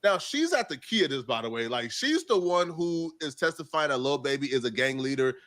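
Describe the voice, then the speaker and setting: A man's voice: medium pitch, sing-song, brisk, a bit bright. A man giving opinionated news commentary to viewers in an emphatic, incredulous, slangy way. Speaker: online video commentator.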